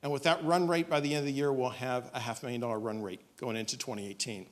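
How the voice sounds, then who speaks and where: Man pitching a startup on stage. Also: steady tone, fast. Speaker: startup presenter. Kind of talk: business pitch presentation. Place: on stage in a dim auditorium.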